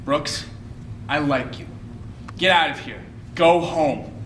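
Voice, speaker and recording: A man's voice: a little high, slow, fairly bright tone. Young man as brooding, curt teenage boy, echoey. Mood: curt, dismissive. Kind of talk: readers theater acting. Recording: echoey stage, distant mic, clear noise.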